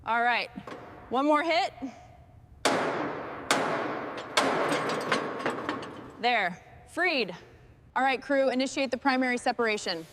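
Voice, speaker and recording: A woman's voice: high voice, expressive, normal speed, very noisy. A woman playing a focused restoration crew boss, giving short orders in acted workshop dialogue. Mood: brisk, commanding. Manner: short, instructing phrases. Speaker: crew leader in a truck restoration.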